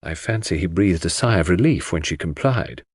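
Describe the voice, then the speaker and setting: A man's voice: deep voice, expressive, normal speed, a little mellow, husky, no echo, clean studio sound. A man reading scripted narration of a classic mystery as an audiobook narrator.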